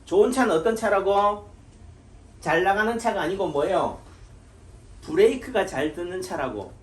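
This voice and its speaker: Rhetorical, higher-voiced man in an echoey room. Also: expressive, moderate pace. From Gyeongsang region of Korea. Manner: rhetorical questions answered himself. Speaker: Korean medicine doctor. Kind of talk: informal health lecture.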